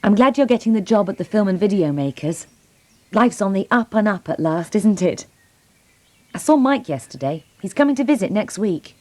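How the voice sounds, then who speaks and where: A woman narrating a historical account and reading a personal letter in an unhurried storytelling manner. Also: medium pitch, expressive, a little mellow, no echo.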